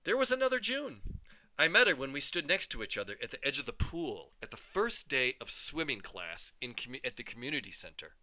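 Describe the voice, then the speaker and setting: A man reading a children's story aloud as a teacher, with expressive, dramatic storytelling. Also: medium pitch, a little fast. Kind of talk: storybook read-aloud narration.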